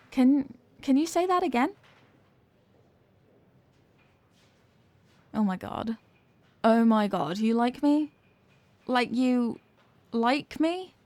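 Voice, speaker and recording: A flustered popular cheerleader girl with a secret crush, played by a young woman. Her voice sits fairly high and sounds very dry, with no room around it. She talks in a coy, dramatic way suited to an intimate ASMR roleplay. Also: no echo. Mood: flustered, embarrassed, then amazed, delighted.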